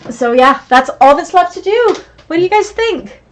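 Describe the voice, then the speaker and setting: A woman's voice: very high-pitched, expressive, moderate pace. A woman teaching a live online sewing class, chatty and encouraging as she answers viewer comments. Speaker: sewing instructor.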